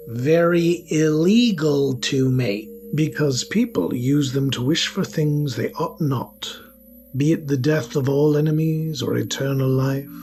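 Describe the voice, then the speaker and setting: A man's voice: a little high, vocal fry, a little mellow. A man playing a flustered, bookish young wizard with an awkward, stammering manner in an audio romance story. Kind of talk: scripted audio fiction, narration and character dialogue. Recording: music behind the voice.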